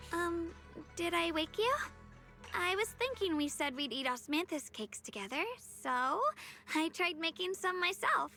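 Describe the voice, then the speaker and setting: Apologetic game girl, high pitch, soft youthful tone, halting delivery, music behind. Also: animated, full character voice. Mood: hesitant. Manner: hesitant, halting, earnest. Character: gentle, apologetic girl hiding a worsening secret. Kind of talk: anime video game voice acting.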